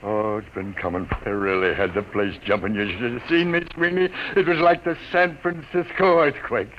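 A man playing an excitable, gruff veteran newspaper editor in a vintage radio drama, barking and wisecracking. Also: lowish voice, normal speed, natural voice. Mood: deflated, wistful. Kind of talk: 1940s-50s radio drama acting. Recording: noisy old radio broadcast recording.

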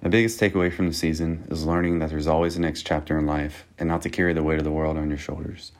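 Very deep, flat-voiced man. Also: fast talker. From Texas. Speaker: podcast listener sending a voice message. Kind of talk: reading a prepared listener message.

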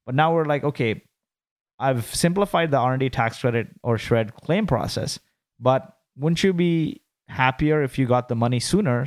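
A man from Kuwait, a startup founder, answering interview questions in a brisk, businesslike way with frequent rhetorical questions. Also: medium pitch.

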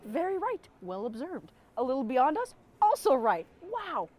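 A sarcastic sellsword from a comic fantasy audio drama. The voice is high and female, swooping widely in pitch. She delivers her mockery dryly, like someone only in it for pay. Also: big pitch swings, normal speed, a little mellow. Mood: dry sarcasm. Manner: mocking, dry sarcasm. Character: sarcastic, mercenary non-human female fantasy adventurer.

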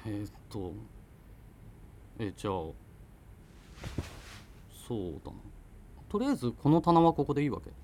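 A man's voice: mid-range voice, sing-song, normal speed, long pauses, background noise, close to an everyday voice. An actor playing a mild, easygoing man in a naturalistic radio drama, speaking in a hesitant, colloquial way. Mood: casual, practical. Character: mild, easygoing partner helping arrange furniture in a new home.

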